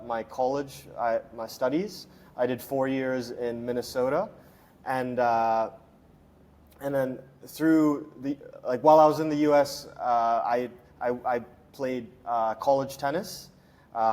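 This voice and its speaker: A young man from Hong Kong who coaches strength and conditioning, giving relaxed, chatty interview answers with many fillers and restarts. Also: mid-range voice.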